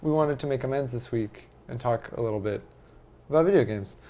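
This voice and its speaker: A man's voice: medium pitch, a little fast, mellow. A young adult man hosting a podcast in a casual, chatty style. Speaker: podcast host. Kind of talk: casual chat.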